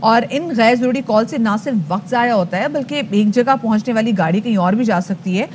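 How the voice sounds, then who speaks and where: A woman with a Pakistani accent presenting a news show in a chatty, expressive, conversational broadcast style. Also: a little high, a little fast. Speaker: TV morning news show host. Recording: clear background noise.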